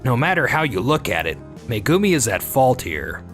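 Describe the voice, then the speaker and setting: A man voices a careless, ambitious office worker for a manga dub. He sounds self-serving and excuse-prone, with a highly expressive, mid-range voice. Music plays behind him. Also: normal speed, lightly acted. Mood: accusing. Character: scheming, careless male office employee, defensive and ambitious. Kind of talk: anime-style manga dub dialogue and inner monologue. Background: background music.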